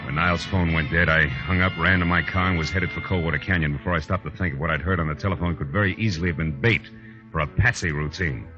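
An actor playing a tough, wisecracking private detective in a 1940s radio drama, clipped and slangy. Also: male voice, a bass voice, fast talker. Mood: hard-boiled narration. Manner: hard-boiled, clipped, slangy. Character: tough, wisecracking male private detective, world-weary and brisk. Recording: dull 1940s radio recording, music behind.

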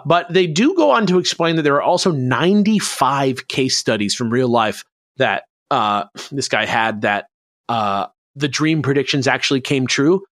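A man's voice: a little high, fairly bright tone, dry recording, studio quality. A man hosting a podcast, explaining things in a casual, rambling, conversational way. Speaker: podcast host presenting a researched topic.

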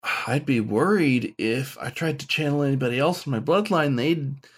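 A man's voice: medium pitch, normal speed, breathy edge, pitched above the performer's own voice, natural voice. A man voicing an anxious young male dog student in a hesitant, plaintive manner. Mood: worried.

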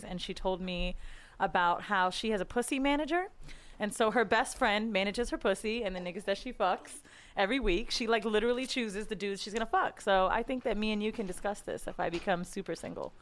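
A woman's voice: medium pitch, a little fast, a bit bright. Brash, candid woman podcast co-host. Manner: brash, candid, slangy and profane. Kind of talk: casual, unfiltered podcast banter.

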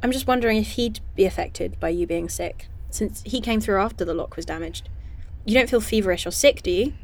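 A woman plays a caring but no-nonsense female virologist in a sci-fi audio drama. She talks in a dry, informal, brisk way at a medium pitch, sounding natural and conversational. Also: a little mellow, lightly acted. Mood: matter-of-fact.

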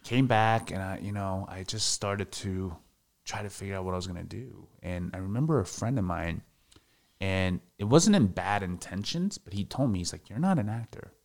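A man's voice: low voice, expressive, normal speed, gravelly. A man in his thirties talking candidly in a casual podcast interview, reflective and full of fillers. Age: 30s. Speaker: podcast guest.

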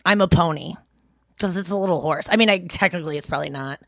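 A woman hosting her podcast in casual, rambling chat, a little hoarse, with lots of fillers and restarts. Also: fairly low pitch, fast talker, a bit bright, no room sound, studio quality. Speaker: podcast host.

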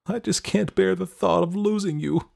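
Man voicing a dramatic lovestruck teenage girl, pitched up. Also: sing-song, measured pace, darkish tone, full character voice. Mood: pleading. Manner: dramatic, emphatic. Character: lovestruck, defiant seventeen-year-old girl, emotional and pleading. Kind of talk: audiobook character acting.

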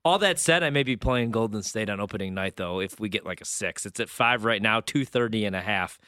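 Fast, bright-voiced man talking sports bets. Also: on the low side, dry recording. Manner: informal, slangy. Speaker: sports betting show host. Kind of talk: casual sports betting chat.

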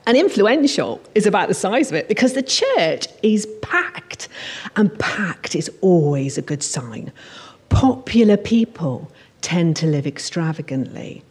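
A woman with a slightly low voice reads her own crime story from a stage. She delivers it as an expressive storyteller, in the first person, as a writer at a live literary reading. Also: unhurried, slightly rough. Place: on stage at a live showcase event in a hall.

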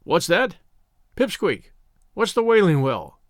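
A man's voice: high voice, very animated, slow pace, dry recording, studio quality, full character voice. A man voicing a strict, exasperated schoolmaster in a story, brusque with his scouts.

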